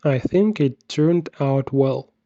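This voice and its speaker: A man narrating a scripted software tutorial, giving measured step-by-step instructions. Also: medium pitch, dry recording, muffled recording.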